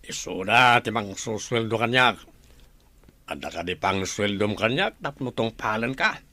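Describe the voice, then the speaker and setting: A man's voice: lowish voice, expressive, measured pace, bright, forceful tone, no echo, full character voice. A man playing a plain-spoken henchman guard in an audio drama, uneasy and deferential. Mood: dismissive, scolding. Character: deferential male henchman guard, uneasy but loyal to boss. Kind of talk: Ilocano audio drama acting.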